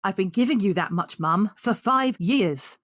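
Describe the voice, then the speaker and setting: An exasperated, caring grown-up daughter in a naturalistic audio drama. She nags in a medium-pitched voice that swings up and down animatedly. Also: animated, unhurried, dry recording, clean studio sound. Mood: worried, exasperated. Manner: nagging, exasperated.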